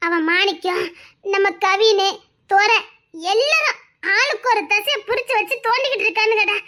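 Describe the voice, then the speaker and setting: A woman acting as a firm, comforting woman in a family drama. She scolds and reassures in turn, with a voice pitched very high. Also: normal speed, pushed and bright. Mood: reassuring. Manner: scolding yet reassuring, calls him "daa". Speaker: relative consoling a grieving man.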